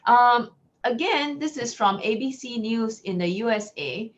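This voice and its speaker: A woman from Singapore with a Singaporean English accent, giving an online lecture in a clear, measured teacherly way. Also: on the high side, lively intonation, a little hiss or hum. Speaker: guest lecturer. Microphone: video call. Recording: lo-fi video call audio.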